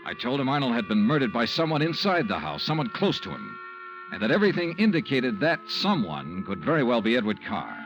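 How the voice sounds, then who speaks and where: A man playing a wry, brisk hardboiled insurance investigator, dry and clipped, in a 1950s radio noir drama. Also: medium pitch, wildly swooping pitch, over music. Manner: dry, clipped, matter-of-fact. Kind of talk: 1950s radio noir acting and first-person narration. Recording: muffled vintage radio recording.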